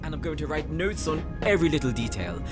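A man playing a nerdy, excitable young forge master in a fantasy audio drama, eager, fussy and easily flustered. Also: fairly high pitch, quick pace, over loud music. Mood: giddy. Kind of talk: anime fantasy audio roleplay acting.

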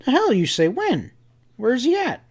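Man doing a high-pitched, rasping Western cowboy. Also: wildly swooping pitch, unhurried, heavily acted. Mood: astonished. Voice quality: harsh, rasping. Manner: rustic Western drawl, folksy bad grammar. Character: gruff cowboy friend Sam, stubborn, excitable, fiercely loyal.

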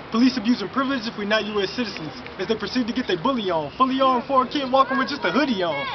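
A young man in his twenties performing spoken word poetry outdoors, rhythmic and rhyming, building to a forceful delivery. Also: sits high, highs cut off, noisy background. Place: outdoors in front of a city mural.

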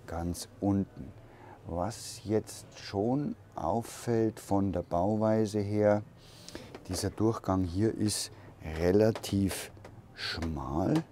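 A man giving a relaxed, unhurried walkaround tour of a camper van, thinking aloud as he goes, recorded inside the van at a trade fair hall. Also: low-pitched, a little hiss or hum.

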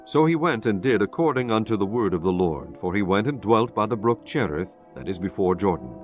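A man narrating a dramatized Bible from a script in a solemn, measured storytelling manner. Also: fairly low pitch, lively intonation, soft background music.

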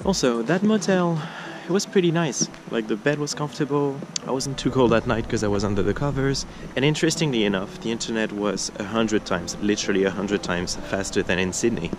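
A young man chatting to his camera from the driver's seat, easygoing and unhurried. His pitch sits in a middle range for a man, and he fills pauses with relaxed asides as he thinks aloud. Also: noisy background. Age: young adult. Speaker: travel vlogger. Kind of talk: casual road trip vlog. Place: inside a car while driving; motel room, small, indoors. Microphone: camera mounted on the dashboard.

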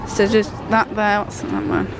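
A woman, chatting casually to a handheld camera with a croaky voice from a cold, rambling with lots of ums. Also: medium pitch, very animated, normal speed. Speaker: family vlogger. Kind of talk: casual vlog chat to camera. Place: home kitchen, then shops. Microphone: handheld camera at arm's length.

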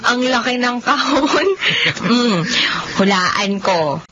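A woman's voice: fairly high pitch, expressive, very slow. An actress playing a friendly, frank young single woman with a Filipino accent in a radio drama. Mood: playful. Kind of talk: Tagalog radio drama acting. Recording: dull lo-fi audio with faint noise.

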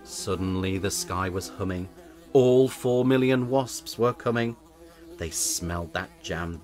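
A man narrating a children's storybook in verse, with theatrical, measured delivery. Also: low voice, a little hiss or hum. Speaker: audiobook narrator.

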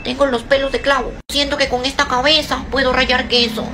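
A woman's voice: high-pitched, level tone, fast talker, bright, forceful tone, slight background noise. A woman giving a casual, comic rant to camera.

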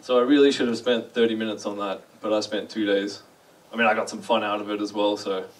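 A man's voice: fairly low pitch, steady tone, quick pace, far from the mic. A young man with an Australian accent, giving a chatty, self-deprecating conference talk and asking the audience questions. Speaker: conference speaker. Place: large dark lecture hall.

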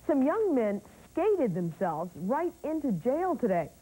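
A woman's voice: sits high, big pitch swings, unhurried, mellow, breathy edge, low-quality recording. A woman reading scripted television news as an anchor and reporter.

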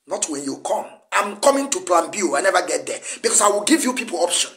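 Nigerian man, 40s, Igbo first language, high-pitched, emphatic political speech to camera in a small room. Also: big pitch swings, fast talker, fairly bright tone. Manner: emphatic, lecturing, gesturing a lot. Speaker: activist commentator.

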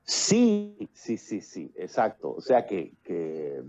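A man's voice: deep voice, huge pitch swings, slow, mellow, dull audio. A Puerto Rican man in his fifties speaking with a Puerto Rican accent, talking at length as a guest on a video call, with many fillers and restarts. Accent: Puerto Rican Spanish. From Puerto Rico. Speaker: playwright and actor, guest. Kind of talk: casual interview over video call. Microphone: earphones with built-in mic on a video call.

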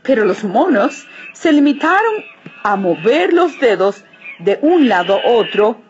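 A woman narrating a children's story expressively, with deliberate, dramatic phrasing. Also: a little high, sing-song, a little hiss or hum. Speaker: storybook reader. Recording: muffled audio with music behind.

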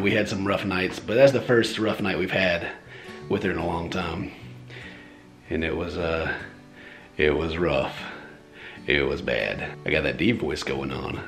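A young man and father chatting casually about a rough night, rambling and self-deprecating, filmed on a handheld camera at arm's length at home. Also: a bit bright. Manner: rambling and self-deprecating, shifts into high baby talk. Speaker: vlogger, father. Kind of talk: casual family vlog chat. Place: home interior, bedroom and living room.

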